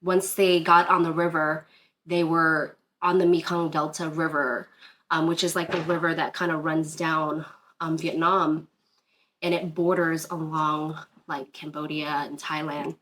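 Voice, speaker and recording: A woman's voice: low-pitched, little pitch movement, unhurried, a bit bright, slight background noise. A woman from Northern Virginia, a DJ guest, telling a personal story in a casual interview, earnest and rambling with many fillers and restarts.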